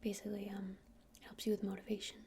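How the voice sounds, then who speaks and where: A woman playing a friendly witch shopkeeper in an ASMR roleplay, speaking in a soft breathy whisper with hesitant, informal explanations. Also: medium pitch, completely flat delivery, fast, slight background noise, close to an everyday voice. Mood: gentle, helpful.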